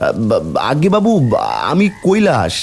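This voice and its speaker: A man's voice: high-pitched, wildly swooping pitch, a little slow, a little hiss or hum, full character voice. A man playing a poor, humble village father in a cartoon folk tale, plaintive and deferential in manner. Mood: nervous pleading. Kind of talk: cartoon fairy-tale dialogue acting.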